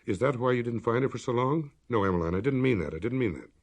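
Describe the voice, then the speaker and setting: A man's voice: medium pitch, expressive, quick pace. A man voicing a stern country doctor in a story, questioning tersely. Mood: harsh. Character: stern male country doctor, harsh, later gently discreet.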